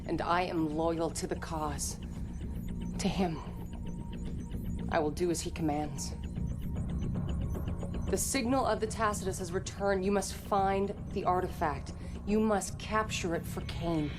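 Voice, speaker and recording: A woman's voice: lowish voice, unhurried, pauses often, lightly acted. A woman acting a fervently devoted, suspicious priestess of a militant cult in a dramatic video game cutscene, speaking with solemn, theatrical intensity. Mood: solemn distrust. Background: loud dramatic music.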